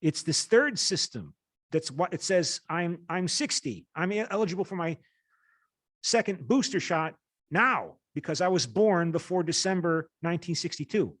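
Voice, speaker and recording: Higher-pitched man in his fifties, lecturing. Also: expressive, moderate pace, fairly bright tone, no echo, clean studio sound. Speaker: university lecturer. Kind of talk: lecture, reading an article aloud with asides.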